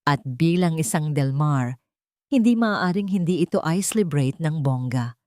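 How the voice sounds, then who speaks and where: A haughty older matriarch, as a woman narrator plays her, talks down to others in a cold, commanding way. The voice is slightly low and dramatically inflected, as in an audio storybook. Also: animated, a little slow, darkish tone, no room sound, clean studio sound. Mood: haughty, grand. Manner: commanding, condescending.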